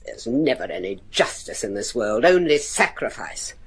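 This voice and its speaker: Stern bitter old lady of the house, gothic radio play, breathy husky, very dramatic swings. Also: rumbling low, lively intonation, normal speed, exaggerated voice. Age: older. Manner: imperious, theatrical. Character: stern, embittered old gothic matriarch, proud and tormented.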